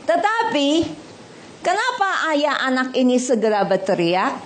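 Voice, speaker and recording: High-voiced woman preaching with lively humor. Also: a little slow, a little hiss or hum. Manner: animated, humorous, blunt and colloquial, asks the audience questions. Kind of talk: lively sermon. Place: hall with a congregation.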